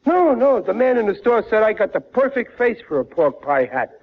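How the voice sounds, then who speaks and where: A man's voice: high-pitched, big pitch swings, moderate pace, exaggerated voice. An actor playing a good-natured, blustering middle-aged working man with folksy, ungrammatical speech in a dull vintage 1940s radio sitcom recording. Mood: pleased.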